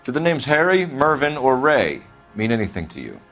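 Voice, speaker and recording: 1940s American detective, crime game, clipped, formal, persistent, music behind. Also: male voice, mid-range voice, sing-song, moderate pace, fairly bright tone. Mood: pressing. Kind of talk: video game acting, period crime drama.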